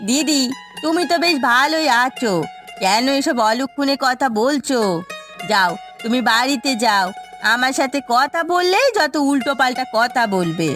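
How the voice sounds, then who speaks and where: A high-pitched cartoon village woman, played by an actress, firm and familiar. She chides like a close friend who cares. Light music runs underneath. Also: lively intonation, moderate pace, fairly bright tone. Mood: chiding. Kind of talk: Bengali cartoon voice acting.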